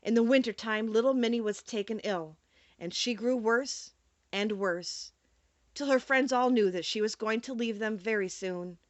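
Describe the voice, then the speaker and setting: A woman narrating a children's storybook in an expressive, dramatic storytelling manner. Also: on the high side, moderate pace, no room sound. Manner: animated, storytelling delivery with dramatic emphasis. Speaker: audiobook narrator.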